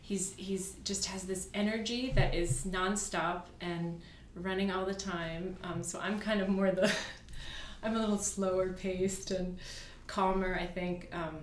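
A woman's voice: medium pitch, fairly even intonation, measured pace, reverberant, a little hiss or hum. A woman from Virginia in the United States, a podcast guest chatting casually and easily, with many fillers and restarts. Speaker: podcast guest, beauty brand co-founder. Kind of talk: casual interview chat. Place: studio.

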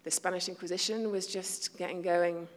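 A woman preaching a sermon to her congregation, talking conversationally, poking fun at herself, and dropping into hushed asides. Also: lowish voice, steady tone, moderate pace. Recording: long hall reverb.

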